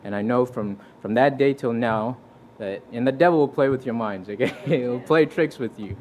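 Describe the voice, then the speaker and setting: A man's voice: medium pitch, normal speed, darkish tone. A young man from Fiji preaching in a church, telling his testimony earnestly and conversationally, with restarts and quoted scripture. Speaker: visiting preacher. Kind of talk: sermon with personal testimony.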